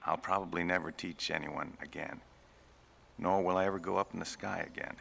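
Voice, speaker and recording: An actor playing a weary older flight instructor in mourning, solemn and resigned, with a gravelly voice. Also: male voice, low-pitched, steady tone, a little fast, fairly bright tone, slight background noise, full character voice. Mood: sorrowful, resigned. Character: weary grieving veteran male flight instructor.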